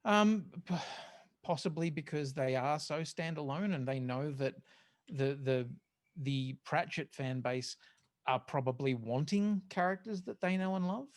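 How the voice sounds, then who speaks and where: A podcast panellist chatting casually in a group discussion, with a hesitant, halting delivery. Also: medium pitch.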